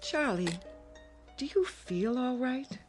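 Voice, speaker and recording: Fretful mother, high and swooping. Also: expressive, slow. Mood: concerned, coaxing. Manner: fretful, coaxing, insistent. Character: fussing, smothering, anxious mother of grown children. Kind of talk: radio drama acting. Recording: music bed under the dialogue.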